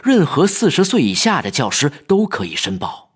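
A man's voice: a little high, wildly swooping pitch, moderate pace, husky. A man narrating a scripted horror story as a storyteller.

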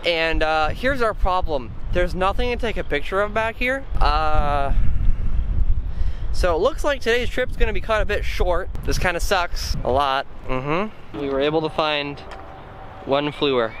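A man's voice: on the high side, animated, measured pace, a bit bright, a little hiss or hum, low-quality recording. A young man vlogging with a handheld camera at arm's length as he walks through suburban streets, talking in a laid-back, dry, self-mocking way. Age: young adult. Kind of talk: casual vlog chat. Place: outdoors on suburban streets and trails. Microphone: handheld camera held at arm's length.

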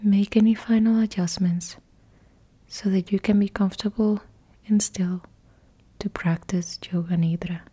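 A woman whispering slow, soothing guided yoga nidra instructions into a close mic. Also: mid-range voice, steady tone, mellow, breathy edge. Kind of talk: guided meditation.

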